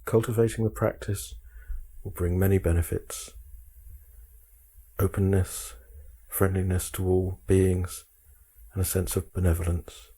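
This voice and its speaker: A man guiding a meditation, speaking calmly in measured short phrases with frequent pauses. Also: sits low, slightly rough. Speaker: meditation guide. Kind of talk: guided meditation instruction.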